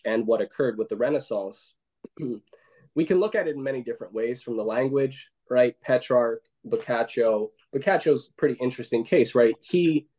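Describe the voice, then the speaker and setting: Guy hosting a chill discussion, keeps saying right? and i mean, mid pitch. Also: moderate pace, dark tone, low-quality recording. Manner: conversational, frequent "right?" and "I mean". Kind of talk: casual discussion.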